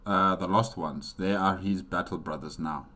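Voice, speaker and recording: A man hosting a reaction video with casual, halting off-the-cuff commentary. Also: sits low, sharp tone.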